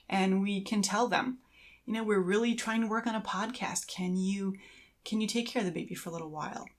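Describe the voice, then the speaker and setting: A woman co-hosting a podcast in casual conversation, explaining things in a supportive way. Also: mid-range voice. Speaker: podcast co-host.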